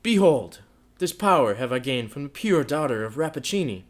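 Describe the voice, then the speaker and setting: A man voicing a bitter, scornful young man in a story, declaiming loudly with rage. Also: medium pitch, very animated, moderate pace, pitched above the performer's own voice, natural voice. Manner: loud, declamatory, raging.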